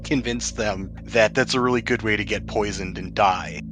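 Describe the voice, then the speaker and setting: A man's voice: lowish voice, steady tone, moderate pace, music in the background. A man narrating a tabletop game as game master, explaining things in a conversational way.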